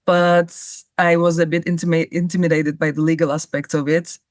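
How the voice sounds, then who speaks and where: Young cypriot woman, turkish first language, even monotone-ish voice, measured answers. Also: deep voice, no echo, studio quality. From Cyprus. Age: young adult. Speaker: guest, travel writer. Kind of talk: interview answers.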